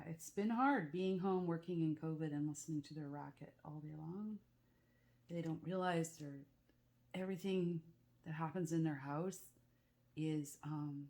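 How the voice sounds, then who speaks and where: Woman, casual craft chat, soft dark tone, slightly low, rough cold-clogged throat, confiding and meandering. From Canada. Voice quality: rough, croaky. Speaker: craft vlogger. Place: at home with the back door open.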